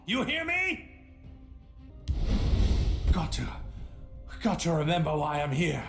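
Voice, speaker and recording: An unhinged, amnesiac hero from a fantasy video game. His delivery is slow and erratic, swinging wildly in pitch as he rambles to himself. The sound is echoey, with faint music behind. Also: wildly swooping pitch, exaggerated voice. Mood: rambling, manic. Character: unhinged, amnesiac male adventurer losing his mind to madness. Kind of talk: theatrical video game voice acting.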